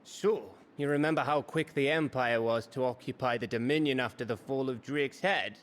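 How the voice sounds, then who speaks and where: A man with a British accent playing a cheeky, rough-mouthed scout and loyal pal in a fantasy game, chummy and irreverent. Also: medium pitch, moderate pace, a little hiss or hum, natural voice. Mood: wry briefing. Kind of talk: dramatic fantasy game acting.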